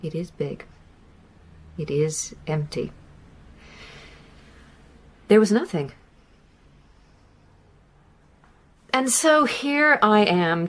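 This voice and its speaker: Grieving American mother, lowish voice. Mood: sorrowful. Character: grieving middle-aged American mother recounting her missing son.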